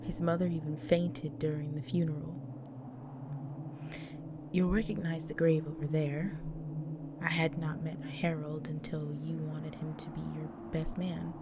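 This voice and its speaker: Woman doing a soft breathy vampire wife for audio roleplay, low voice, gentle n protective, really noisy ambience behind her. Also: level tone. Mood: tender, sorrowful. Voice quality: breathy, often near whisper. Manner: tender, slow, soothing. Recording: noisy track with loud ambient sound.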